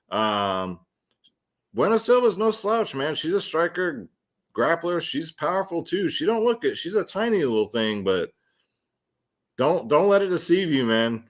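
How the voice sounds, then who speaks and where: A man talks through fight predictions as the host of a fantasy sports show, at an ordinary male pitch. His delivery is casual and slangy, addressing the viewers like buddies with plenty of "man" and "guys.". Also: fairly bright tone. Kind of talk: casual solo fight predictions and betting commentary.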